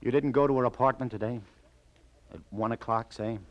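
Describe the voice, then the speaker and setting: An actor playing a streetwise, weary but kindly police lieutenant in a mid-century radio crime drama, talking in clipped, easygoing questions. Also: male voice, mid-range voice, steady tone, a little fast, dull audio, natural voice. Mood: probing, gentle.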